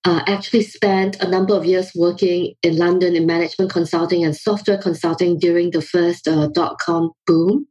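Low, husky-voiced woman from Singapore. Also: darkish tone, low-quality recording. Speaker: founder and CEO guest. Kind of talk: remote podcast interview.

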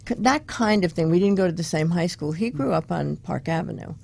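An older woman from Long Island, New York, a guest telling her life story casually and a little haltingly. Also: lowish voice, expressive, vocal fry, darkish tone, slightly rough. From Long Island, New York, United States. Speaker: interview guest, women's activist.

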